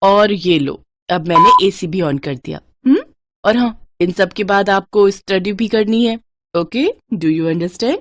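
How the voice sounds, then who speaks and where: A woman's voice: medium pitch, expressive, slow pace, very noisy. A woman voicing a doting, fussy rich mother in a children's story, coaxing and dropping in English words.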